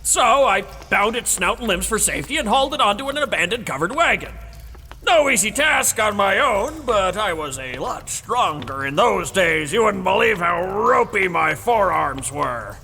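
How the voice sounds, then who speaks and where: Gruff, gravelly old war veteran, Abraxas. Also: male voice, high-pitched, expressive, moderate pace, pushed and bright, full character voice. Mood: blustery, insistent. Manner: blustery, rambling storytelling, stammers when challenged. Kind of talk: audio drama acting. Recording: reverberant hall with music behind.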